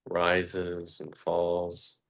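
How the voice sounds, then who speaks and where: A man leading a guided meditation, speaking slowly and haltingly with long pauses and fillers. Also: vocal fry. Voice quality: very low, flat voice. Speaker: meditation teacher.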